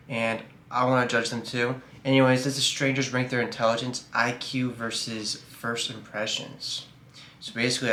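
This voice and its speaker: A man's voice: mid-range voice, a bit bright. A man hosting a reaction video, chatting loosely and joking, with casual swearing. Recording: roomy echo, faint noise.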